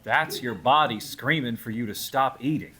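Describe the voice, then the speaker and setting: A man's voice: medium pitch, moderate pace, sharp tone, natural voice. A man playing an irritated former military tracker in his late forties, in a screenplay table read.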